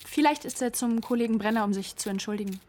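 An actress playing a polite, discreet police secretary, speaking formally and deferentially in a radio drama. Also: female voice, fairly high pitch, quick pace, darkish tone, natural voice.

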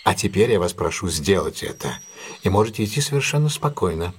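Casting: imperious, shrewd detective for audio drama; lowish husky voice, very animated, theatrical. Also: male voice, fairly quick, exaggerated voice. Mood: commanding. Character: commanding, shrewd, self-assured male detective plotting a trap.

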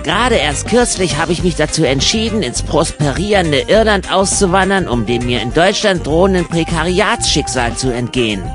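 A man with a slightly high voice narrates a radio play over clear music. His delivery is scripted, deadpan and formally wordy. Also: moderate pace, hardly any pauses.

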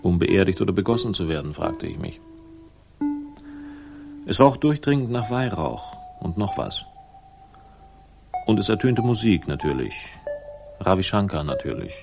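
A man's voice: low voice, sing-song, moderate pace, gravelly, pauses often, full character voice. An actor playing a wry, world-weary male private investigator, narrating dryly in a 1960s German radio crime drama. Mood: deadpan narrating. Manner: dry, wry, ironic. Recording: music behind the voice. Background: music.

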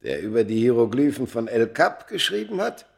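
An actor playing a tormented, immortal Egyptian under a curse in a German radio play, solemn and theatrical, moving from hushed words to fierce outbursts. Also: male voice, medium pitch, very animated, normal speed, gravelly. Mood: stern, imperious. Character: tormented, cursed ancient Egyptian man, four thousand years old.

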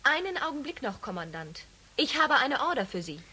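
An actress playing an officious security officer in a science fiction radio play, formal and insistent. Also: female voice, sits high, very animated, fairly quick, bright, forceful tone, husky, heavily acted. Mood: official, insistent. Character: officious female security officer aboard a spaceship.

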